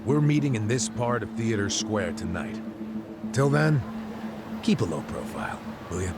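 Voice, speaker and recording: An actor playing a sly, cynical middle-aged ex-detective in a video game cutscene dub, with a teasing, wry manner. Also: male voice, lowish voice, expressive, normal speed, very noisy. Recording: game cutscene with loud music.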